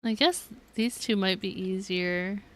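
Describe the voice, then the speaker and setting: A woman's voice: mid-range voice, a little slow, a bit bright. A woman game streamer giving chatty, informal, self-deprecating live commentary as she plays. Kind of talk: casual live gameplay commentary.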